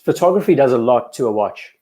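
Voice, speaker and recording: A young man with a Cape Town South African accent, hosting a casual livestream and chatting in a relaxed, enthusiastic way, thinking aloud as he goes. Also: medium pitch, fast. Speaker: livestream host.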